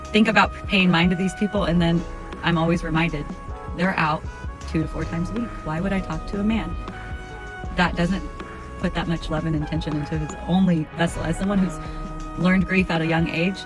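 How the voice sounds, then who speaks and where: A woman's voice: on the low side, fairly even intonation, moderate pace, music in the background, low-quality recording. A woman in her thirties giving her frank, firm opinion on dating. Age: 30s. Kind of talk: opinionated vlog monologue.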